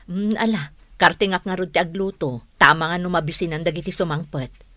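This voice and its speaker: A woman's voice: fairly low pitch, fairly quick, sharp tone, dry recording. A woman in an Ilocano radio drama playing a dutiful, apologetic household cook. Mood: apologetic, concerned.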